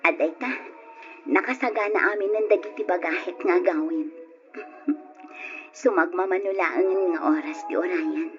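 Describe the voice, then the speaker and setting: An actress with an Ilocano accent playing an affectionate young daughter in a radio drama. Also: female voice, medium pitch, natural voice. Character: affectionate young woman, daughter coming home, later grieving. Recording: dull lo-fi radio recording, music behind.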